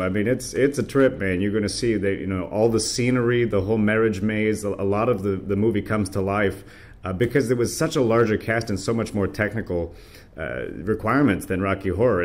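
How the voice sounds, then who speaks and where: An American man conducting a casual interview, effusive like a fan, with hesitant, halting phrasing. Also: lowish voice.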